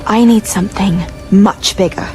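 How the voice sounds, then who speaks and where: A woman's voice: medium pitch, fairly even intonation, normal speed, close to an everyday voice. Woman, acting a solemn secretive witch in a game, determined, music behind. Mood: guarded conviction.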